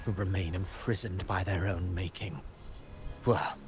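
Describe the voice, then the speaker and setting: A man voicing a calm, grandiose villainous playwright in a video game, speaking in measured, theatrical, philosophical pronouncements. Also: sits low. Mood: grandiose, resolute. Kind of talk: dramatic video game voice acting. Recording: music behind the voice. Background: game music.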